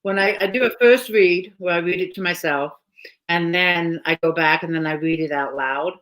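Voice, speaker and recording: A woman's voice: fairly low pitch, moderate pace, a bit bright, low-quality recording. A woman with a British accent hosting a casual live author chat from home, talking chattily and laughing often. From Britain. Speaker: host.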